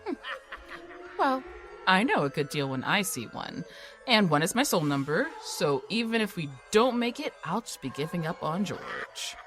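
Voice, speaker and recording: Woman as dry sarcastic young woman, lower voice, lively swings, faint music. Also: normal speed, rough recording. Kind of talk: audio drama acting.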